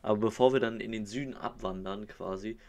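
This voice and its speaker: A man's voice: on the low side, a little fast, a little mellow. A man from Hamburg co-hosting a podcast in easygoing, casual chat. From Hamburg, northern Germany. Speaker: podcast co-host.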